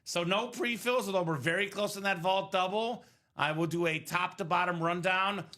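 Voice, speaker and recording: Man hosting a live card break, bright and a touch high, upbeat sales talk, voice slightly worn. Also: moderate pace. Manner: upbeat, salesy running commentary. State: voice a bit worn from singing. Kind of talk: live stream selling chat.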